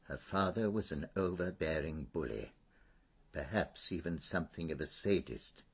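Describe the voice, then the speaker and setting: An actor playing a fussy, dignified older former schoolmaster in a radio drama, an English man speaking in a hesitant, precise, formal way with a husky voice. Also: very deep voice, expressive, normal speed, full character voice. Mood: grave, sorrowful.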